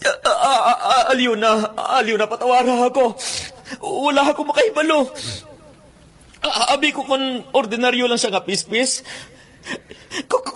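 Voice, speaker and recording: An actor playing a frightened, bewildered bird catcher in a Filipino radio drama, stammering and pleading with a Filipino accent. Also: male voice, very high-pitched, a bit bright. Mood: distraught, pleading.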